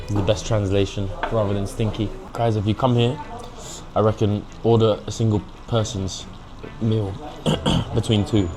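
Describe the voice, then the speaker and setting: A man's voice: on the low side, flat delivery, moderate pace, darkish tone, faint music behind, low-quality recording. A young man with an English accent, chatting casually through a food vlog, cheeky and mixing in Mandarin phrases. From England. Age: young adult. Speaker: food vlogger. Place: hotpot restaurant.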